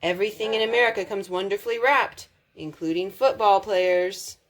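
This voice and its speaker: A woman reading a picture book aloud as a storytime host, expressive, drawing out words for emphasis. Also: mid-range voice, moderate pace. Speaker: storytime reader.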